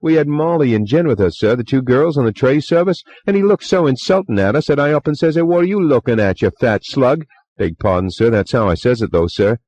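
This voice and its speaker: A man's voice: medium pitch, fairly quick, a little mellow, no echo, voice pushed up, lightly acted. Man doing a jittery Cockney bellboy. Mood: agitated. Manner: rough dialect speech, deferential, many "sir"s. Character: young Cockney male bellboy, nervous and chatty.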